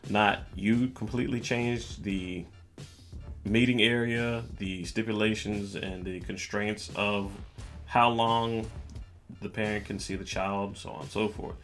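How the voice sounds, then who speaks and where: A man hosting a podcast, telling a personal story in a casual, measured way with music playing behind the voice. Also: lowish voice, fairly even intonation, fairly bright tone. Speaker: podcast host. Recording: music bed under the voice. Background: background music.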